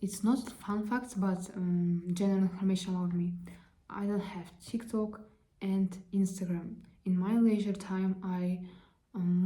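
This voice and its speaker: A young woman from Kazakhstan with a Kazakh accent, introducing herself in halting, careful learner's English full of fillers. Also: mid-range voice, mellow. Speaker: vlogger. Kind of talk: casual self-introduction.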